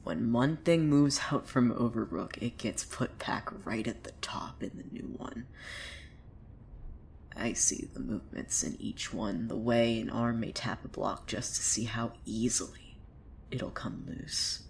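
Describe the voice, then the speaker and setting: A woman's voice: very deep voice, measured pace, vocal fry, darkish tone, a little hiss or hum, full character voice. A woman playing an unhinged, bitter cult preacher with visions in a fiction podcast, theatrical and swinging between brooding and mania. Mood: brooding, eerie. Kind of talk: dramatic monologue in an audio fiction podcast.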